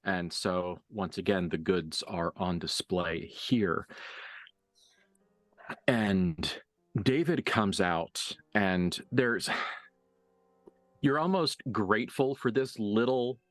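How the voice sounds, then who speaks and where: A man working as game master, improvising narration for a tabletop roleplaying game with deliberate, halting phrasing and dramatic emphasis. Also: deep voice, fairly bright tone, no room sound. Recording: dry voice over background music.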